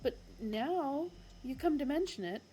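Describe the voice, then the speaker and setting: A woman reading a story aloud and voicing a hulking, dim-witted alien guard who booms slowly and loudly. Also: animated, slight background noise, poor audio. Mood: booming. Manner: booming, slow-witted.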